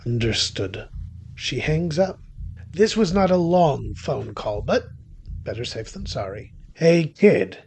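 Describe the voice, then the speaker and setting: An actor playing a wry, secretive male witch who narrates a horror-thriller audio drama in a theatrical, deliberate manner. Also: a little high, big pitch swings, vocal fry, darkish tone, a little hiss or hum, heavily acted. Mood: brooding, then wry, brisk. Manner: wry, theatrical, deliberate, with sudden swells and drops. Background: music under the voice.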